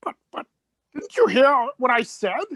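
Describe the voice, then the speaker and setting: A woman's voice: on the high side, sing-song, a little slow, a bit bright, dry recording, heavily acted. Woman playing an emphatic, eccentric Doctor, dry recording. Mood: urgent, insistent. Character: urgent, eccentric, commanding alien Doctor, quick-witted. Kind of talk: scripted radio play reading.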